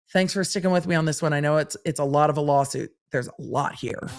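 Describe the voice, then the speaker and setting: A middle-aged woman talks through a legal case on her own podcast, her voice a touch raspy from long days of streaming. She reads out a statute clause by clause, then poses questions to herself and answers them plainly. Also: sits low. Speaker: legal commentator and podcast host. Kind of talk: solo legal podcast commentary.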